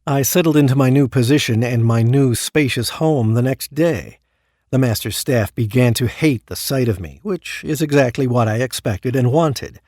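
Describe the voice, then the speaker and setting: A man's voice: medium pitch, normal speed, darkish tone, dry recording, studio quality, lightly acted. An actor playing an earnest, guarded dead man in Hell, in audio drama dialogue and first-person narration. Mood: grim storytelling. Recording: clear background noise.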